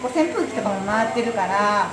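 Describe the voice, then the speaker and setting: A woman's voice: medium pitch, animated, a little fast. A woman in her thirties chatting casually and reflectively about art, hesitantly searching for her words and letting sentences trail off. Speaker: exhibition talk speaker. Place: small indoor cafe room. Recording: roomy sound with music behind.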